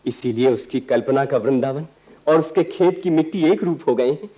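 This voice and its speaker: Earnest, husky-voiced young idealist played by a man. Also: medium pitch, normal speed, mellow, close to an everyday voice, rough recording. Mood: fervent. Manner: oratorical, earnest. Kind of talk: Hindi radio play acting.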